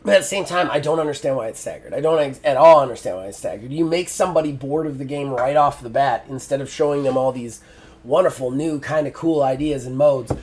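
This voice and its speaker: A man's voice: a little high, a little mellow. A middle-aged man giving a frank spoken game review, often exasperated, with lots of fillers and restarts.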